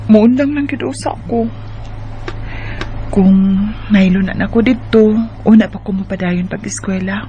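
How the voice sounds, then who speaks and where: A woman's voice: medium pitch, normal speed, long pauses, lo-fi sound, lightly acted. Woman acting a plain-spoken young student, faint noise. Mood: resigned. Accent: Filipino, Visayan. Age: young adult. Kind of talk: radio drama acting.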